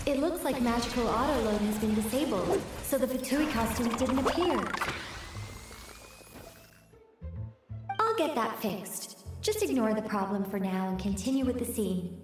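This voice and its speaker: Calm magician guide in a game, polite direction, heavy magical reverb, light music behind. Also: female voice, fairly high pitch, moderate pace. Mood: calm, apologetic. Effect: magical echo on a remote voice. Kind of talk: video game voice acting. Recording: very echoey, long reverb.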